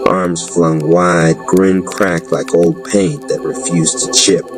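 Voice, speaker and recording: A man narrating a scripted dark fantasy audio drama in a solemn, deliberate, florid storytelling manner, with a gravelly, creaky voice. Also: low voice, flat delivery, music in the background. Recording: clearly noisy background.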